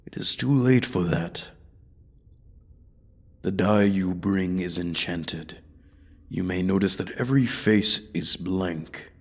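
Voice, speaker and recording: Man voicing a deep, slow, raspy dragon. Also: heavily acted. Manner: slow, formal, condescending. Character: ancient bored male dragon, menacing, haughty, toying with prey. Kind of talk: ASMR fantasy roleplay audio.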